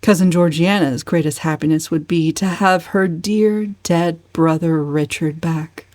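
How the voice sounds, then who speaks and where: A woman narrates a novel in a slightly low voice. Her delivery is measured and expressive, in the manner of a dramatic reading. The recording is very dry, with no room sound. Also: dry recording. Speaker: audiobook narrator.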